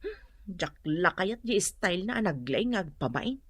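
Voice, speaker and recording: An actress playing a nagging, fretful wife from a poor household in a radio drama, with an Ilocano accent. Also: female voice, fairly low pitch, big pitch swings, moderate pace, fairly bright tone, husky, no echo, full character voice. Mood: indignant, fretful.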